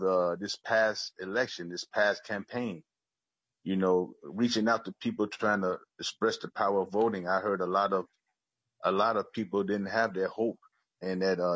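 Husky, low-voiced American man, earnest and halting. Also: fairly even intonation. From Chicago, United States. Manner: earnest, halting, with repeated words. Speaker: public witness at a legislative hearing. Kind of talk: personal testimony. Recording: muffled, echoey video call.

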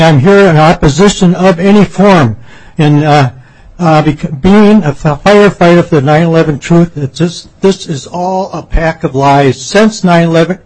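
A man in his seventies giving rambling, halting public testimony at a committee hearing. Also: a little high, darkish tone, poor audio. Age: 70s. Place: legislative committee hearing room.

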